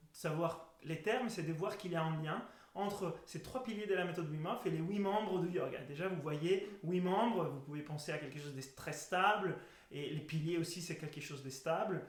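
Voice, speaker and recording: A man with an Italian accent explains things informally, as an instructor would. His voice sits a little high for a man. The sound is clearly roomy, with plenty of echo. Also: normal speed. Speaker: breathwork method instructor. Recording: echoey room sound.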